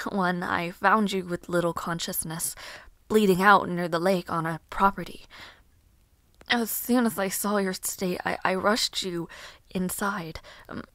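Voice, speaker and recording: A woman playing a caring, easily flustered young noblewoman in a fantasy audio roleplay, soft-spoken, hesitant and politely formal. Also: mid-range voice, fairly even intonation, slow, dry recording, studio quality. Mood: gentle, hesitant.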